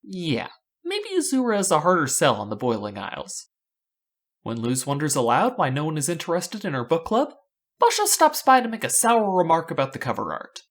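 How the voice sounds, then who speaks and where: A woman's voice: sits low, wildly swooping pitch, normal speed. A woman narrating scripted fan analysis as a video host, with a wry, rhetorical delivery.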